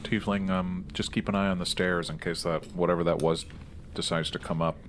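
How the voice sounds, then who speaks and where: A man's voice: low-pitched, steady tone, brisk. A man playing a tabletop roleplaying game, casually thinking aloud as he describes his moves. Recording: faintly noisy room recording.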